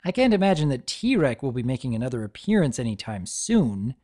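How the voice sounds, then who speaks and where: A man serves as story reader and reviewer, with a dark, mellow tone. He narrates in a dramatic storytelling style, his pitch moving expressively around a middle register. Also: very animated, brisk.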